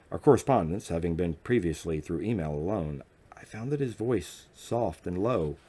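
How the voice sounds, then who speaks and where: A low-voiced man reads scripted fiction aloud. He narrates in the first person as an audiobook reader. His delivery is measured and dramatic. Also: dark tone.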